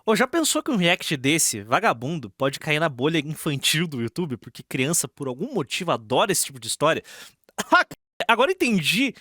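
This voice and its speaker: A man's voice: fairly high pitch, big pitch swings, moderate pace, sharp tone, dry recording, clean studio sound. A Brazilian man doing casual live reaction commentary as a streamer, slangy and sarcastic, often repeating his phrases.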